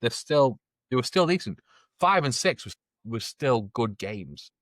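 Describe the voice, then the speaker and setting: A man's voice: medium pitch, big pitch swings, unhurried, a bit bright, no room sound, clean studio sound. A man co-hosting a podcast, talking casually and earnestly, giving strong opinions. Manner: earnest, opinionated, restarts often. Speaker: podcast co-host. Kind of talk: casual chat.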